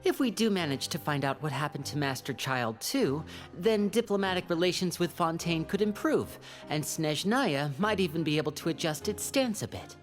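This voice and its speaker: A woman's voice: low-pitched, animated, normal speed, few pauses. A woman voicing a polite, shrewd young man in a video game, speaking with polished, diplomatic composure. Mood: diplomatic, persuasive. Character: polite, shrewd young male stage magician, composed schemer. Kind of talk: video game character dialogue. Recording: faint music behind.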